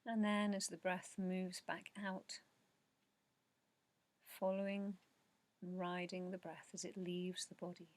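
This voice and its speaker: Soft low woman doing guided meditation, flat soothing tone, slow w lots of pauses, kinda breathy at the ends. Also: dry recording. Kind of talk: guided meditation narration, yoga nidra.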